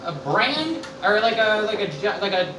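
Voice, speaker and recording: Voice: mid-range voice, sing-song, moderate pace, over music. A live show host in casual, improvised comedy banter, joking and feeling out the words. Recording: echoey room, distant mic, loud noise.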